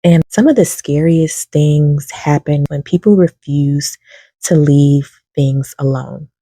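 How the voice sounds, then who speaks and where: A young woman talking calmly and in a low-key way about books, soft-spoken and unhurried. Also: breathy edge, dry recording, clean studio sound. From lives in Kentucky. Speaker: book reviewer. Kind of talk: casual recommendation talk to camera.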